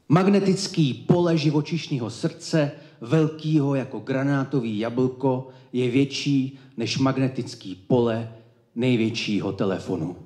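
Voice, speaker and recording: A man's voice: mid-range voice, darkish tone. Echoey male slam poet, declamatory. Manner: declamatory, emphatic phrasing with deliberate pauses. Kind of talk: slam poetry performance. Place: indoor cultural evening event, live before an audience. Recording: echoey live room.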